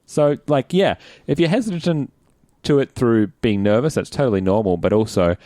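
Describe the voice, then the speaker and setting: A man's voice: medium pitch, animated, normal speed, warm tone, no echo, clean studio sound. An Australian man co-hosting a podcast in casual chat, speaking informally and encouragingly. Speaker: podcast co-host.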